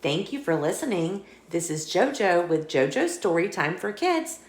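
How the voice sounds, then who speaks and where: A woman reading a children's story aloud, expressively and with deliberate phrasing for young listeners. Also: lowish voice, big pitch swings, a little mellow, reverberant. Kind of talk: scripted read-aloud storytelling for kids.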